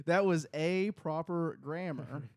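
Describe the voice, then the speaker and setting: Casual podcast cohost, slightly high voice, crude jokes, dry close mic. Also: male voice, slow, darkish tone, no echo. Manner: rambling, crude jokes, many fillers. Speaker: podcast co-host. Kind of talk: casual chat. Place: small home room. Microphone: close studio mic on boom, headphones.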